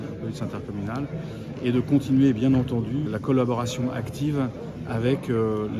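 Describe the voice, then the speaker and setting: A man giving explanatory interview answers as a local official, with hesitant pauses. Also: mid-range voice. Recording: loud crowd chatter around the voice. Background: busy crowd chatter.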